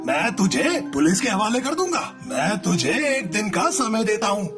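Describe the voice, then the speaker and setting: A man's voice: sits high, big pitch swings, moderate pace, music in the background. Man as a swooping, bullying cartoon landlord. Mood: angry, threatening. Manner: bossy, suspicious, menacing. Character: harsh, greedy, threatening male landlord bird. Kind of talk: Hindi children's cartoon villain acting.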